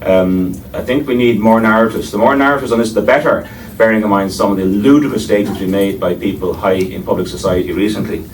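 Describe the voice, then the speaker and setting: A man's voice: on the low side, fast talker, few pauses. An Irish man chairing a panel, introducing speakers with wry asides and many hesitations. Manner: wry, digressive, with frequent hesitations. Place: lecture room before an audience. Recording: distant mic, faint noise.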